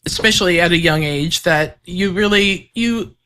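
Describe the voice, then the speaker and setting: A woman hosts a podcast interview with a somewhat low voice. She speaks calmly and thoughtfully, easing into her points with soft fillers. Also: normal speed. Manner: calm, reflective, with fillers. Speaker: podcast host.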